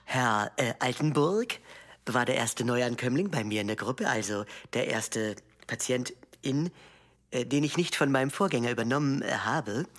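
Man acting as earnest therapist, mid-pitched, formal clinical wording, hesitant, careful, mock-documentary podcast. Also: moderate pace, a bit bright, lightly acted.

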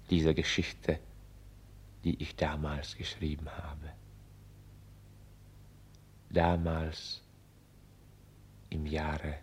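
A man acting in a radio drama as an excitable author spinning a grand catastrophic story, musing and declaiming by turns, with a husky voice. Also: rumbling low, heavily acted. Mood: hushed, resigned. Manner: theatrical, musing, swinging from hushed to declaiming. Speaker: radio play actor.

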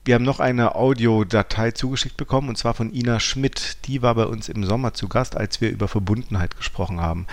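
Man, creaky fry, somewhat deep, conversational explainer, reflective podcast talk. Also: fairly quick, few pauses. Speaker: podcast co-host.

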